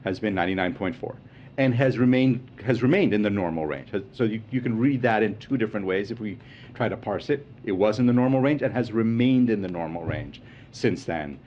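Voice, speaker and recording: A man's voice: on the low side, a little fast, dull audio, a little hiss or hum. A man answering reporters' questions at a press briefing, explaining in a reassuring way and stressing key points with energy. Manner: reassuring, explanatory, animated emphasis. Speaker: medical official at briefing.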